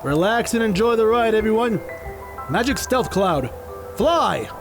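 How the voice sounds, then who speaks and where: Guy doing a confident heroic conjurer, theatrical, pretty high voice w lots of swoop, music behind. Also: animated, measured pace. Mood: excited, commanding. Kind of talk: audio drama acting.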